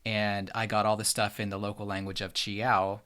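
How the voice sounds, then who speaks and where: A man's voice: fairly low pitch, flat delivery, normal speed, sharp tone, smooth. A man telling an anecdote in a casual, conversational style.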